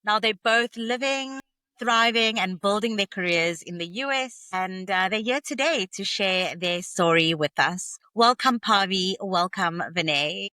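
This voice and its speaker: A woman's voice: mid-range voice, unhurried, sharp tone, dry recording. A woman hosting a podcast interview, asking upbeat, friendly questions. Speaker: podcast host.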